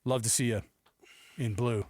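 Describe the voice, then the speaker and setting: A man's voice: mid-range voice, steady tone, moderate pace, a little mellow, no echo, clean studio sound. A man hosting a sports radio show in casual talk, often acting out imagined quotes.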